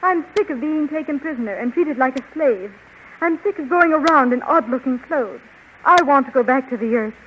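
An actress playing a weary, anxious young American heroine in a 1930s radio serial, plaintive and melodramatic. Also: female voice, high voice, animated, moderate pace. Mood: fed up, pleading. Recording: noisy, dull 1930s radio recording.

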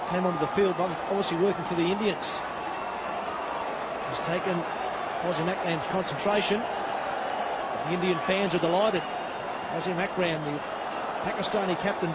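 A man giving steady, descriptive live cricket commentary. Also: high voice, normal speed, pauses often, heavy background noise. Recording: broadcast commentary over loud stadium crowd.

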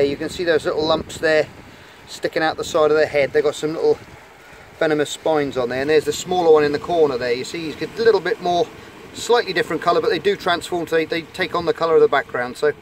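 A man's voice: a little high, brisk. A middle-aged man casually narrating a nature vlog outdoors at rock pools, chatty and enthusiastic as he handles sea creatures. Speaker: channel host. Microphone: camera held in hand at the shore. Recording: outdoor wind noise on mic.

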